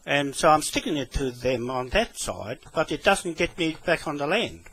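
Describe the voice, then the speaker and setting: Man on a phone call, New Zealand accent, slightly high voice, hesitant, repetitive, rambling. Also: animated, moderate pace, a bit bright, slightly rough. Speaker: caller with a complaint. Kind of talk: phone conversation. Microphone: telephone.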